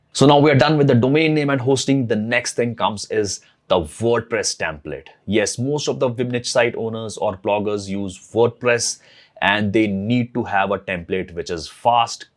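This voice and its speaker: Male host, non-native English speaker, low voice, earnest and repetitive delivery. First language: not English. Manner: earnest, repeats phrases as he thinks aloud. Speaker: blogging channel host. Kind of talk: casual tutorial talk to camera.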